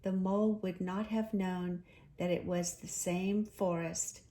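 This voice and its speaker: Slow-paced woman reading a children's story. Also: fairly low pitch, fairly even intonation, dark tone. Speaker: storybook reader. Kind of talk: expressive children's story narration.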